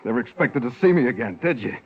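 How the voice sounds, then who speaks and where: Brooding gangster on the run, wounded and vengeful; rough-edged voice, muffled vintage radio recording. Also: male voice, mid-range voice, expressive, fast. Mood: hard, bitter. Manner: tough, brooding gangster talk. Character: wounded, bitter escaped convict bent on revenge. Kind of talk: 1950s radio drama acting.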